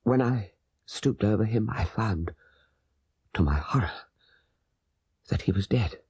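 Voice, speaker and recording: A man voicing a guilt-ridden, dying old father in an audiobook, halting and laboured, with a breathy voice that fades into whispers. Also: on the low side, expressive, darkish tone, no echo, full character voice. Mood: haunted, halting. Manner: halting, laboured deathbed speech. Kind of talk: dramatic audiobook character voice.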